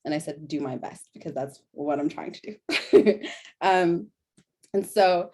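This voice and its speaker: A woman's voice: deep voice, a little slow, dark tone, slightly rough. A young woman with an American accent, reading a prepared panel talk in an earnest way and now and then correcting herself. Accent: General American. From born in a refugee camp in Sudan, raised in Denver. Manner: earnest, self-correcting, occasional laughs.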